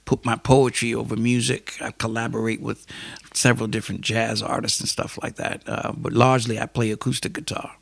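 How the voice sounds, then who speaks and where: A man's voice: medium pitch, steady tone, a little fast, gravelly, a bit bright, no room sound. A middle-aged American man with a New York accent, telling his life story as an interview guest, thoughtful and full of fillers. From United States. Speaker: guest poet and musician.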